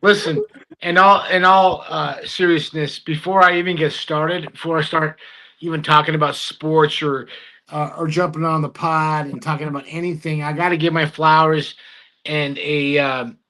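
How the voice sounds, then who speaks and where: A man's voice: on the high side, flat delivery, moderate pace, bright, forceful tone, low-quality recording. A man whose first language is Spanish, a guest on a casual sports podcast over a video call, gushing with thanks and joking around.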